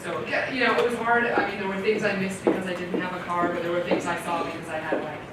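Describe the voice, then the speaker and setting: A chatty woman from Canada answers audience questions at a library talk, laughing often and acting out her own reactions. She is heard from across a very echoey room. Loud steady noise sits under her voice. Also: on the low side, fast, far from the mic.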